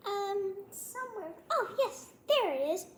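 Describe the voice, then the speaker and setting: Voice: very high-pitched, big pitch swings, a little slow, roomy sound, slight background noise, exaggerated voice. A performer voicing a friendly horse companion with a childlike, exclaiming manner. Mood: excited, wondering.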